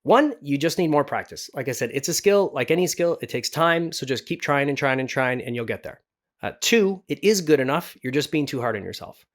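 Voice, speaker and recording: A man with a medium-pitched voice hosts a tutorial. He talks informally, explaining each step in a casual way. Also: animated, brisk. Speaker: voice acting tutorial host.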